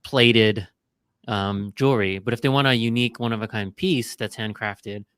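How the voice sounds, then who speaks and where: A man hosting an interview podcast, explaining points to listeners in a relaxed, conversational way. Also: lowish voice, moderate pace, smooth, no echo. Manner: explanatory, drawing business lessons. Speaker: podcast host. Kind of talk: casual interview chat.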